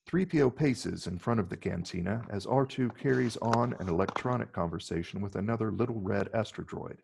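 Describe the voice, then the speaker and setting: A man with a gravelly, husky voice reading stage directions as scripted narration. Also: fairly low pitch, fairly quick, a little mellow, a little hiss or hum.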